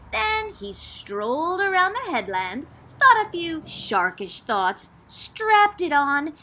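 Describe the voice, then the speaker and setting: A woman reads a picture book aloud in a bright, high voice. Her pitch swoops widely as she stresses words for drama, like a teacher performing for a class. The delivery is theatrical and expressive. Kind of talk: expressive storybook read-aloud.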